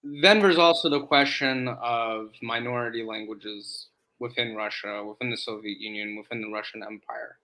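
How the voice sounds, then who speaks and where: A man in Slavic studies, a guest on an interview, explaining at length in a measured way with many "um"s. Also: mid-range voice, fairly even intonation, pushed and bright, rough recording. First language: English. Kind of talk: casual interview chat.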